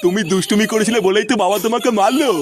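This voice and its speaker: A man's voice: high voice, racing, crowd noise, natural voice. A man voicing a doting, fretful old grandfather in a comic cartoon. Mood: coaxing. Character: doting, fretful old grandfather who curses when cross. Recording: clearly noisy background.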